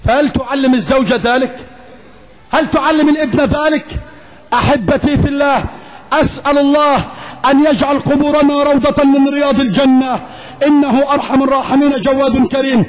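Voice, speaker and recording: A man's voice: very high-pitched, steady tone, brisk, poor audio. A man with an Egyptian accent preaching an Islamic sermon in an emphatic, rhetorical way, repeating phrases and posing questions. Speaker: preacher giving a Friday sermon.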